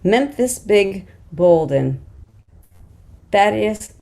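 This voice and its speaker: A woman's voice: mid-range voice, animated, very slow, gravelly, warm tone. A woman reading scene directions in scripted narration at a table read. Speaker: stage direction reader.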